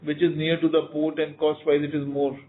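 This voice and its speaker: A man's voice: a little high, completely flat delivery, a little fast. A man with an Indian accent answering analysts as a company executive on an earnings call, emphatic, repeating words and often saying "you know". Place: conference call over phone line. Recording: narrow tinny phone-line audio.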